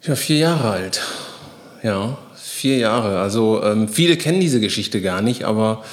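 A man in his forties, a former footballer from Guinea, telling his story calmly in an interview, with many hesitations and fillers. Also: fairly low pitch, a little slow. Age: 40s. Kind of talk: casual interview conversation.

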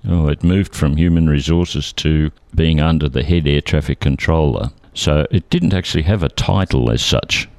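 Older Australian male, seventies, bass-register voice, conversational interviewee, measured and hesitant, dry sound. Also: a little mellow, no echo. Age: 70s. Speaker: interview guest, retired air traffic controller. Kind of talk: conversational reminiscence.

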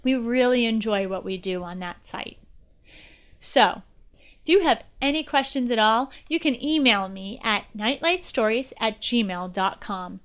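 Clear, higher-pitched woman presenting a podcast. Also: animated, a little slow, a bit bright. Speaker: guest podcast host. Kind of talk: scripted educational podcast narration.

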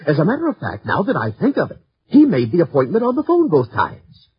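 A man's voice: a little high, wildly swooping pitch, a little fast, slightly rough, full character voice. A man playing a fussy, hesitant clerk answering questions in a 1950s radio drama. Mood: fussy, recollecting. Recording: dull, muddy 1950s radio recording.

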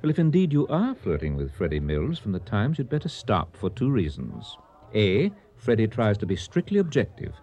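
An actor playing an urbane, scheming restaurateur in a radio comedy, speaking in a formal, wordy, mock-indignant way. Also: male voice, lowish voice, huge pitch swings, normal speed, warm tone. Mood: sly, pedantic. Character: urbane, pedantic male restaurateur and scheming accomplice. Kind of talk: radio comedy drama acting.

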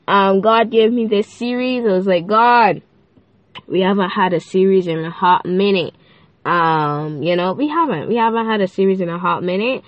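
A woman's voice: medium pitch, no echo, dull audio. A teenage girl with a lilt chats away on her podcast, bubbly and playful, full of fillers and giggly asides. Her voice sounds stuffy and nasal from a cold. She is recorded close up in a dry, dead space. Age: teens. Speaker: podcast host. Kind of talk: casual faith podcast chat.

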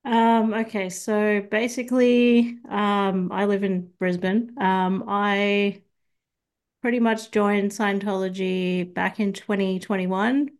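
A young woman with an Australian accent, a guest on an interview podcast, speaking hesitantly with many ums and soft, trailing phrases. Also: mid-range voice, steady tone, takes it slowly. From Sydney, Australia. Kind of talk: casual interview chat.

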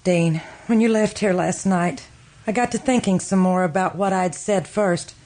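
A woman's voice: lowish voice, normal speed, mellow, lightly acted. A woman playing a guilt-stricken, distraught woman in an old-time radio drama, earnest and melodramatic. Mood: accusing, intense.

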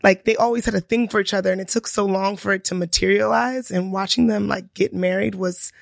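A woman with a New York accent and a hoarse voice, co-hosting a casual podcast chat and telling stories with frequent laughs. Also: medium pitch, steady tone, a little fast, dry recording, clean studio sound. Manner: storytelling, laughs often, swears casually. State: hoarse from a lost voice. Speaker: podcast co-host.

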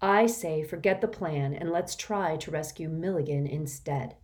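A bold, energetic girl who talks bluntly and impatiently, voiced by a woman reading aloud. The voice sits near the reader's own lowish tone. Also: measured pace. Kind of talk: audiobook character voice.

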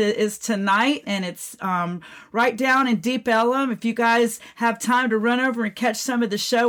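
A middle-aged American woman hosting a casual radio interview, chatty and effusive as she talks. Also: medium pitch. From Florida, United States.

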